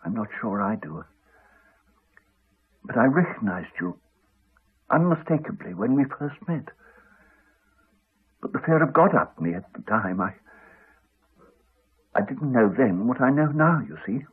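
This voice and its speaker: An actor playing an earnest, wry, reflective doctor in a 1980s radio drama. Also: male voice, medium pitch, big pitch swings, a little slow, pauses often, husky. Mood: weary, confessional. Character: earnest male doctor, reassuring, wry, later weary and dying. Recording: muffled, narrow-band 1980s radio broadcast.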